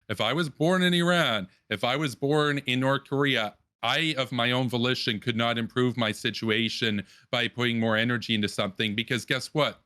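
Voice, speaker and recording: A man's voice: mid-range voice, sharp tone. A man giving an emphatic closing statement in an online political debate, repeating phrases to press his point.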